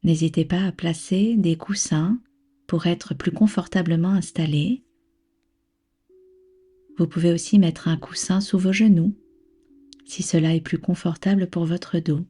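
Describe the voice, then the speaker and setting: A woman with a soft, breathy voice leading a guided relaxation as a yoga nidra instructor, soothing, unhurried and gently instructing. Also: lowish voice, steady tone. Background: background music.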